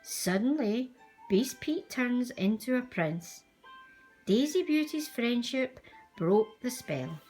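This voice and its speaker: A woman reading a children's storybook aloud as a storyteller, with expressive, deliberate delivery. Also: on the high side, faint music behind, rough recording. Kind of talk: scripted storybook narration for young children.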